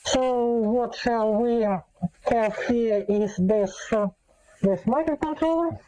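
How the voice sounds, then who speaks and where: A woman giving a casual, unscripted gadget demonstration, speaking in a halting, hesitant way with many filler pauses. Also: on the high side, mellow, poor audio. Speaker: host demonstrating an electronic gadget.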